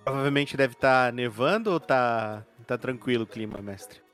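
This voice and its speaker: A man's voice: medium pitch, moderate pace, fairly bright tone, smooth. A man improvising narration as a player in a tabletop roleplay game, descriptive and playful, with music behind him.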